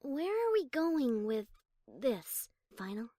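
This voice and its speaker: Storybook pony mare played by a woman: refined, anxious lover, hesitant, high voice, slow delivery, dry close recording. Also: big pitch swings, warm tone, dry recording, full character voice. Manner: hesitant, earnest, halting. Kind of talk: audiobook character acting.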